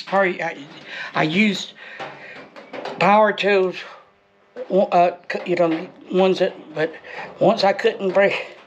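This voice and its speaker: A man's voice: sits high, gravelly, muffled recording. An American man chatting casually through a DIY job in a folksy, rambling way, muttering to himself as he works. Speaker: DIY motorcycle vlogger. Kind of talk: casual unscripted how-to vlog chat. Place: shed workshop. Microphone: action camera. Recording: noisy action-camera audio with clicks.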